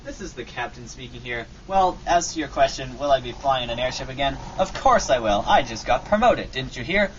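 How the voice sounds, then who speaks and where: A man's voice: mid-range voice, expressive, fairly quick, hardly any pauses, a bit bright, close to an everyday voice. Man acting a composed, self-important airship captain, muffled. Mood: proud. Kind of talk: comic old-time radio acting. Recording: muffled recording with faint noise.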